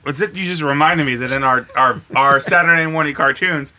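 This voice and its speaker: A man banters as a podcast co-host in loose, sweary conversation. His voice is intensely bright and pitched a little high. He speaks emphatically, often repeating a phrase to drive it home. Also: a little fast. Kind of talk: casual, profane group chat.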